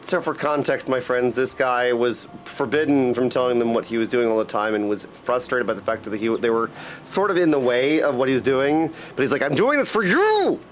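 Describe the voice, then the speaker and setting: A man giving casual, excitable, jokey live commentary as he streams a game. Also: medium pitch, fairly quick, a little hiss or hum. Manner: excitable, jokey, bursts of exclamation.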